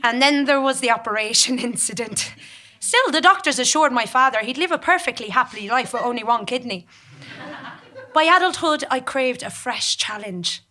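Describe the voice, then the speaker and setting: Bright-voiced woman as a ruthless gambler telling tales. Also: on the high side, expressive, normal speed. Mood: wry, boastful. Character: mechanical storyteller recounting life as ruthless, competitive gambler. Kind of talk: scripted comic monologue, storytelling on stage. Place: theatre stage in front of an audience.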